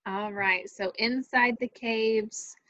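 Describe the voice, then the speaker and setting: A woman's voice: fairly high pitch, steady tone, slow, pushed and bright. A woman in her thirties chatting casually as she helps run a group video call. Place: indoor room on a video call. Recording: dull, lo-fi video call audio.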